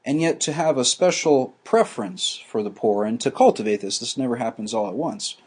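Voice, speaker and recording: A man teaching a class, explaining ideas slowly with frequent restarts and fillers. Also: medium pitch, animated, a little mellow, slightly rough. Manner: halting, many restarts and fillers.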